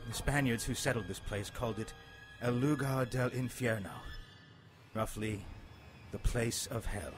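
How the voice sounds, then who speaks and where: A man's voice: mid-range voice, close to an everyday voice. Grave, stern monk played by a man over music. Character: dying, bitter, pious monk, stern and fatalistic. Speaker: radio drama actor. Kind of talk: dramatic radio play storytelling.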